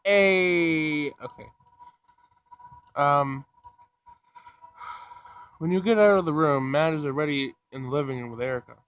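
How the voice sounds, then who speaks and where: Male gaming host, slightly high voice, casual read-aloud, reactive commentary. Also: unhurried, pauses often, a bit bright, clear, resonant tone.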